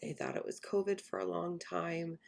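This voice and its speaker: A woman in her late twenties hosting a casual podcast, croaky and hoarse while getting over an illness, with a voice that cracks now and then. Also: lowish voice, little pitch movement, normal speed. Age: 20s. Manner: chatty, self-deprecating, laughs often. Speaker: podcast host. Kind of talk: casual chat.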